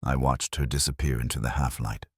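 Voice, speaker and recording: A man reading scripted first-person detective fiction as narrator, in a measured, reflective literary manner. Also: very deep voice, steady tone, breathy edge, no room sound, studio quality.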